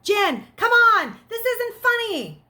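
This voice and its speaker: A woman's voice: very high voice, sing-song, slow pace, pushed and bright, voice pushed up, exaggerated voice. A woman voicing a frightened, desperate boy searching for his friend in a children's book read-aloud. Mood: calling out.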